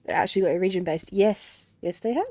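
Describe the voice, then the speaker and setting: Female streamer reacting casually to a game, blunt, sweary, warm dark tone, dry close sound. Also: medium pitch, fast talker, no room sound.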